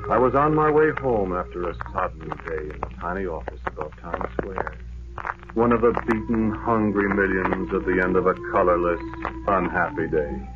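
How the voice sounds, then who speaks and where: Deep-voiced, lovelorn war veteran, played by a man. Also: lightly acted. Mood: brooding. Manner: brooding, poetic, measured delivery. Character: lovelorn, weary male war veteran narrating his lifelong search. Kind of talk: 1940s radio drama monologue. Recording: noisy, dull 1940s radio recording.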